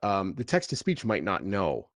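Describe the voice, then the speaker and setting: A man with a deep voice talks as a tech founder being interviewed. He explains things casually and haltingly, often repeating words as he goes, in a dry recording with very little room sound. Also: huge pitch swings, no room sound. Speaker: tech founder as podcast guest.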